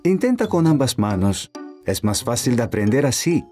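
A patient young cartoon dad, low in pitch and very animated. The accent is Latin American Spanish, with music behind the voice. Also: female voice, normal speed. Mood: encouraging. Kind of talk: children's cartoon dub.

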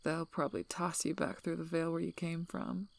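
A woman voicing a gruff, guarded but protective werewolf alpha in a breathy, soft-spoken ASMR role-play, dry and teasing. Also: on the low side, level tone, moderate pace, no room sound. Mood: low, softening. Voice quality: breathy, husky, half-whispered.

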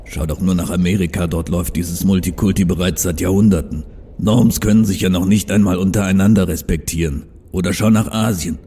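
Casting: male actor as proud, stubborn troll visionary, deep and mellow, earnest and bitter, for audio drama. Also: steady tone, fairly quick, breathy edge. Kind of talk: fan audio drama acting.

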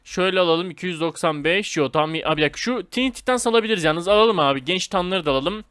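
A man giving casual, excitable gameplay commentary in informal slang, talking straight to his viewers. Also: high voice, racing, clear, resonant tone, no echo. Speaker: gaming video host.

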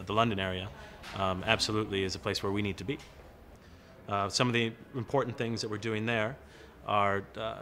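A man in his thirties speaks as a corporate representative in an informal interview. His voice is somewhat low in pitch. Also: fairly bright tone. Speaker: corporate innovation team representative. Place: large open-plan office, indoors.

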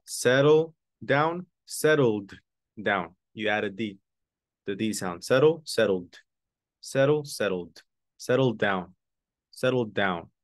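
A man teaching an online English lesson, switching between English and Spanish and repeating key words slowly for his students. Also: fairly low pitch, animated, no room sound. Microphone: video call webcam.

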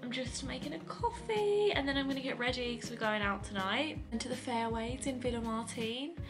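A woman's voice: on the high side, lively intonation, a little fast, over music. A woman in her twenties chatting informally about her holiday for a vlog. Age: 20s. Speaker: vlogger. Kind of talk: casual holiday vlog chat.

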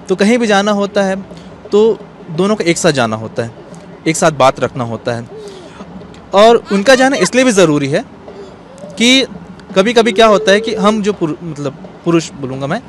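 Earnest guy being interviewed outside, lively up and down pitch, bit of street noise. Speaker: interview guest, cartoonist. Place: outdoor bench in an open courtyard. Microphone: handheld microphone held out by interviewer. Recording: faint outdoor urban noise.

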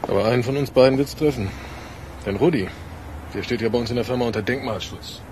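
A man's voice: mid-range voice, fast talker, a little mellow, a little hiss or hum, natural voice. An actor playing a worried, resentful working-class family father with a gloomy, grumbling manner in a radio play.

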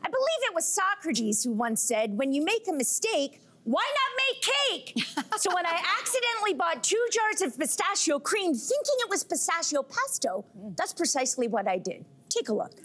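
A woman's voice: extremely high pitch, very animated, normal speed, fairly bright tone. A woman in her forties with a Canadian accent, a correspondent on a TV talk show, playful and self-deprecating, speaking on a lapel mic in a studio. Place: TV studio set.

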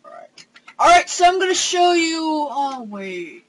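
High, lively young gamer host. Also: male voice, unhurried, slight background noise. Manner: excitable, gasping, hesitant fillers. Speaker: gaming channel host. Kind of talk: excited let's play commentary. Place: home room with console screen.

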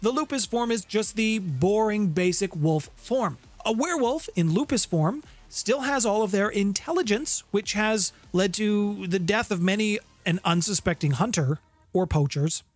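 A man's voice: high-pitched, expressive, moderate pace, dry recording. A middle-aged man presenting a scripted explainer on game lore, with playful, theatrical emphasis, close on a studio mic. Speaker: host of a tabletop game lore channel. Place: home room with guitars, indoors. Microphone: close studio condenser mic with pop filter. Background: background music.

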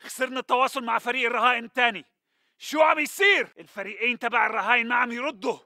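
A man's voice: very high voice, racing, pushed and bright, no room sound, clean studio sound, full character voice. A man playing a tense, impatient militant guard with a Levantine Arabic accent, giving urgent video game chatter. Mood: alarmed.

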